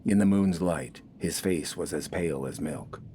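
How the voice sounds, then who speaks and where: A man's voice: sits low, normal speed, warm tone, slight background noise. A man narrating scripted fiction in a dramatic storytelling style.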